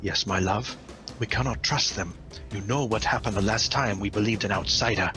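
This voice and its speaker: A man voicing a huge, suspicious warlord chieftain who snarls and growls out slow, deliberate threats in a tabletop game. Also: on the low side, over music, pitched below the performer's own voice, lightly acted. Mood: quelled.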